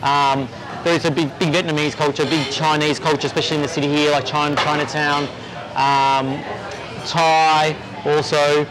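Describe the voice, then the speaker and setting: A young adult man with an Australian accent, talking casually as an interview guest, halting and restarting often. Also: on the high side, level tone, noisy background. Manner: easygoing, halting with many restarts and fillers. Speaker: executive chef, interview guest.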